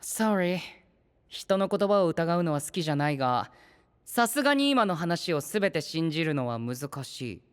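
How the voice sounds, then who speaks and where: An actor playing a cool, refined, sceptical young knight with a measured, gentlemanly, slightly aloof manner in an anime audio drama. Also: male voice, on the high side, big pitch swings, clean tone, big-room sound.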